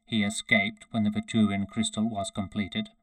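A man's voice: fairly low pitch, level tone, measured pace, mellow, dry recording, lightly acted, rough recording. A man voicing an obedient, emotionless cyborg centurion in a story, with a metallic, synthesized, toneless, clipped delivery. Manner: toneless, clipped, matter-of-fact.

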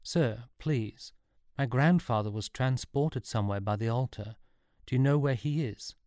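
A man's voice: mid-range voice, expressive, moderate pace, warm tone, no echo, clean studio sound, lightly acted. A man voicing an anxious young male hero in a story, urgent and insistent as he pleads and questions. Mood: anxious appeal.

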